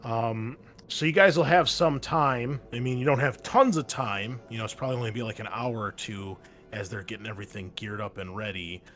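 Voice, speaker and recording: A man narrating as game master, relaxed and full of ums and uhs. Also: medium pitch, a little fast, faint music behind. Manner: relaxed narration with many fillers.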